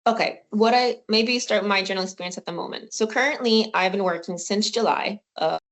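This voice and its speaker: A woman's voice: medium pitch, brisk. Woman doctor explaining her work plainly. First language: not Swedish. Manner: explains steps plainly, with many fillers. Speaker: guest doctor. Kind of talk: online presentation talk. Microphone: phone.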